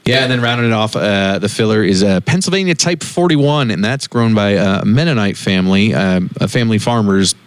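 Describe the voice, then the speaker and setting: A man talks casually as a podcast co-host. His voice sits on the low side, and the sound is dry, with very little room in it. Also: fairly quick, few pauses, clean tone, dry recording. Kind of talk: casual chat about cigars.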